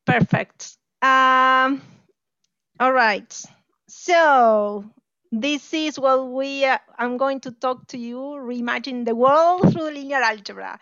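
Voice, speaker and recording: High-voiced Argentine woman teaching online. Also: slow, fairly bright tone, no room sound, muffled recording. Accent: Argentine Spanish. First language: Spanish. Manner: friendly, laughs often, many fillers. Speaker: math lecturer. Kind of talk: informal online lecture. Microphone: video call.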